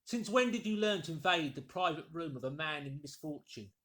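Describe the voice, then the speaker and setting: A man's voice: fairly high pitch, lively intonation, brisk, a bit bright. A man voicing a menacing invisible intruder in an audiobook, giving curt, formally worded orders. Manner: threatening, curt, formally articulate.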